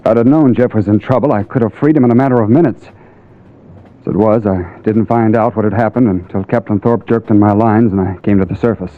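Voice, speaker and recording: A man acting a solemn, controlled diver in a fifties radio play. His voice is on the low side, and the old recording is muffled and narrow. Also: steady tone, a little fast, close to an everyday voice. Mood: solemn, explaining. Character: diver explaining a partner's death, controlled, smooth. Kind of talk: 1950s radio drama acting.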